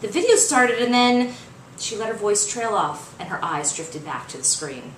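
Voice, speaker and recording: A woman reading fiction aloud as its author, in expressive, dramatic narration. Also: medium pitch. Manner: dramatic, acts out dialogue. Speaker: author reading their own novel. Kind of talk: expressive audiobook-style narration. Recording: roomy, distant mic, faint noise.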